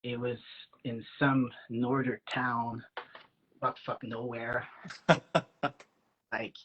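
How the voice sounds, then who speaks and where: A man with a French Canadian accent, a native French speaker, telling personal stories in a casual guest chat, lively and acting out the lines he quotes, with plenty of fillers. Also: medium pitch, drawn-out words, dull audio, slight background noise. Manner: animated, acts out quoted lines, many fillers. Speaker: guest, aspiring actor. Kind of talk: casual storytelling.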